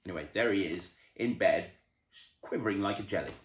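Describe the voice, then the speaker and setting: A man reading a children's story aloud as an entertainer, in an expressive storybook style. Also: deep voice, brisk. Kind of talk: animated children's storybook narration. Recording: roomy, echoey indoor sound.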